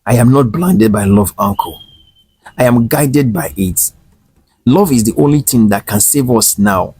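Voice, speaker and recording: A man voicing a resolute young man in a folktale, pleading earnestly and with deep conviction. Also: unhurried, dark tone, breathy edge. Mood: impassioned. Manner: impassioned, full of conviction. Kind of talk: dramatic folktale character voice. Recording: roomy echo over faint music.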